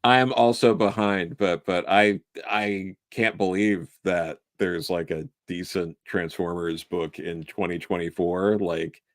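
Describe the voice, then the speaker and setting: A man's voice: sits low, steady tone, unhurried, few pauses, dry recording, studio quality. A man appearing as a guest in a casual chat, talking in an enthusiastic, rambling way with casual swearing. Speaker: guest, comics writer.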